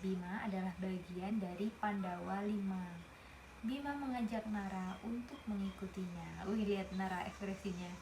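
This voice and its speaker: A woman's voice: mid-range voice, fairly even intonation, normal speed. A woman reading a picture book aloud, stopping to explain the story to a young listener in a chatty way. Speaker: storybook reader. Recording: roomy with noticeable background noise.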